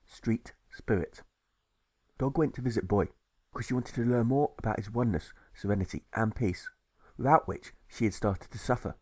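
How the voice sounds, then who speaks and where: Guy narrating an audiobook fable, soft mellow tone, measured and deliberate, very dry sound. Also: mid-range voice, dry recording. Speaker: author reading own audiobook. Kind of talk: scripted rhyming fable narration.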